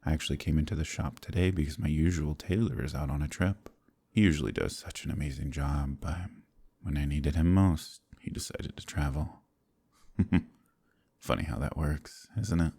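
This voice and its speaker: Very deep, gravelly man acting a brooding secret werewolf. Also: full character voice. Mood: polite, awkward. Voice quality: gravelly, husky, breathy at times. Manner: soft-spoken, halting, courteous, old-fashioned phrasing. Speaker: voice actor in an audio roleplay. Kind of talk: dramatic ASMR roleplay monologue.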